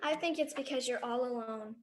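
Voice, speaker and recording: A woman's voice: a little high, fairly quick, a little mellow, close to an everyday voice. A woman playing an earnest, inquisitive little girl with a direct, innocent manner in a live radio drama. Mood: curious.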